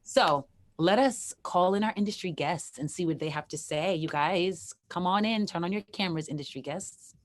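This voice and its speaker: Raspy-voiced woman host, effusive and chatty, slightly low pitch. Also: moderate pace. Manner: effusive, chatty with many restarts. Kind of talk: casual chat.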